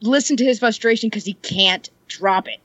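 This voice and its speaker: A woman's voice: medium pitch, moderate pace, a bit bright, dry recording, lo-fi sound. A woman chatting casually on a podcast, rambling and joking, laughing often. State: ill. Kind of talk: casual chat.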